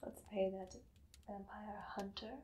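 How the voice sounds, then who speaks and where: Woman playing a vampire mistress, very slow, breathy, often whispering, possessive, doting, coaxing with menace, theatrical ASMR roleplay. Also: mid-range voice, monotone, a little mellow, slight background noise, close to an everyday voice. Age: young adult. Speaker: ASMR performer. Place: small dim indoor room.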